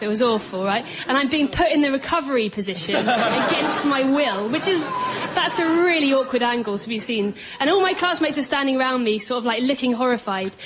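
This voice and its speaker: Female comedy panellist, slightly high pitch, halting self-mocking anecdotes, recorded before a live audience. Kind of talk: comic anecdote and banter. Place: stage before a studio audience. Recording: live audience show, faint crowd noise.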